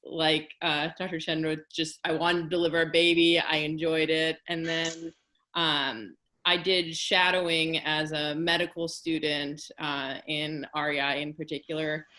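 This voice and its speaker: A woman's voice: sits low, flat delivery, unhurried, sharp tone. A woman fertility fellow introducing herself casually on a webinar, with many fillers.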